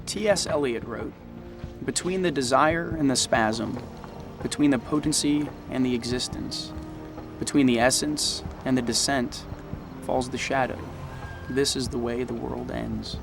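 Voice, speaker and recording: A male actor playing a grave criminal profiler, reciting verse and quotations in a measured TV drama voice-over. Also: medium pitch, vocal fry. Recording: music under the voice.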